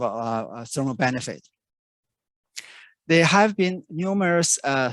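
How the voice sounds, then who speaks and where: Halting man giving a research talk. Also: mid-range voice, dry recording. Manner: halting, many fillers and restarts. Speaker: researcher presenting. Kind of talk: conference talk. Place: remote video call.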